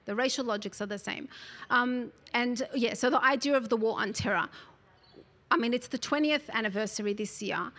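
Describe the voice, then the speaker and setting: A woman, speaking as an interview guest who talks about her book in an articulate, earnest way and often fills pauses with "you know". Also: fairly high pitch, fairly bright tone. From Australia. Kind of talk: conversational interview.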